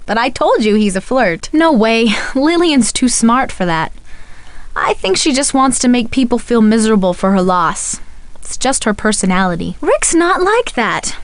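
Animated, high-voiced young soap actress. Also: female voice, moderate pace, dry recording. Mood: earnest, confiding. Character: young soap actress, friendly, emotional, earnest about her ex. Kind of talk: video game voice acting.

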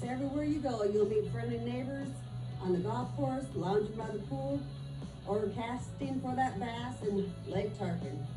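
A middle-aged woman, a real estate agent, reading an upbeat promotional voice-over, with a few small slips. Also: on the high side. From Florida. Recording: echoey voice-over with clear music. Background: background music.

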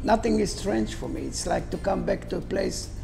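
An older Israeli chef talking to camera in a restaurant, speaking halting, non-native English with broken grammar. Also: male voice, high-pitched, expressive, vocal fry, darkish tone, faint music behind. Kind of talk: casual talk to camera. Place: restaurant dining room, indoors.

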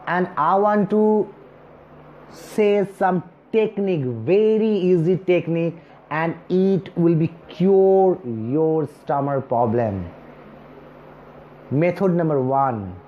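Slow-talking man, Bengali native speaker. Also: on the high side. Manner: slow and deliberate, with long pauses between words; earnest and encouraging, gesturing with both hands. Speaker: speech coach. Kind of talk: self-help talk to camera. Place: small indoor room, seated on a chair. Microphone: lapel mic. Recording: slightly dull recording with faint hiss.

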